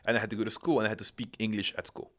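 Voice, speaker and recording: A man's voice: lowish voice, steady tone, racing, fairly bright tone. A man in his thirties, originally from Peru, explaining patiently in a casual conversation with frequent rhetorical questions.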